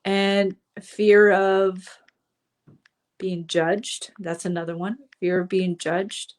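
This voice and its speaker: A middle-aged woman talking to her viewers in a casual live stream, her pitch sitting in the middle range. She chats loosely, with plenty of fillers and asides. Her voice is slightly hoarse from a cold. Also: level tone, takes it slowly. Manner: chatty, with frequent fillers and asides. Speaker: coach hosting a live stream.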